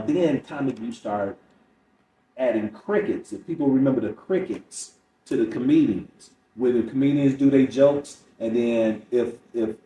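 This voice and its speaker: Roomy, mellow middle-aged man telling stories. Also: mid-range voice. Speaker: comedian guest. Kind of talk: candid podcast storytelling. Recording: roomy echo, distant mic, faint noise.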